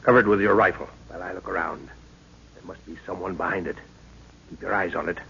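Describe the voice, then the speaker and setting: An actor playing a middle-aged, commanding professor and protective father in an old radio serial, giving brisk, cautious orders. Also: low-pitched, fairly even intonation, creaky, pushed and bright, slightly rough, full character voice. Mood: wary, decisive. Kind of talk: 1940s radio serial acting. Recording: dull, noisy old radio recording.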